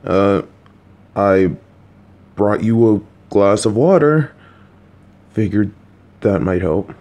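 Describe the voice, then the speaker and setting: A man voicing a kind, worried, clueless father who talks to his child in a gentle, patient, anxious, rambling way. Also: lowish voice, expressive, slow pace. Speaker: voice actor. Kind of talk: audio roleplay for a listener.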